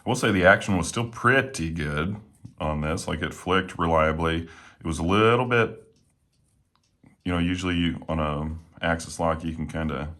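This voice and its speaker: Very deep-voiced man, a bit froggy. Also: moderate pace. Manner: relaxed, rambling, many fillers. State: slightly hoarse. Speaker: knife reviewer. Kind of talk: casual talk while working. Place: home room with windows.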